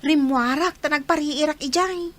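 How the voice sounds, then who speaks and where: A woman's voice: high voice, normal speed, fairly bright tone. A woman playing a whiny, jealous young daughter in a radio drama, plaintive and insistent. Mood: resentful, whining. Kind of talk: Filipino radio drama acting.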